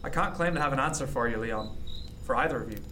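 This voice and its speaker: An actor playing a reflective, modest sea captain of the early 1700s in a radio drama, measured and halting. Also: male voice, mid-range voice, sharp tone, a little hiss or hum, close to an everyday voice. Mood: earnest, thoughtful. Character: reflective, modest sea captain in 1717, former cabin boy. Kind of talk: period radio drama acting, storytelling.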